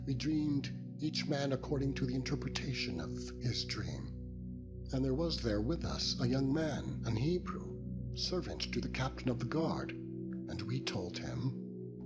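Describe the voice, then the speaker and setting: An earnest royal butler, acted by a male narrator gives a solemn, deferential account in a dramatized scripture reading. The delivery is expressive, with prominent music underneath. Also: medium pitch, pitched above the performer's own voice, close to an everyday voice.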